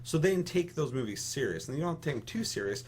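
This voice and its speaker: A man's voice: fairly high pitch, very animated, quick pace, a little mellow, slight background noise. A young American man hosting a casual podcast discussion, giving opinions emphatically with lots of casual swearing. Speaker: podcast host.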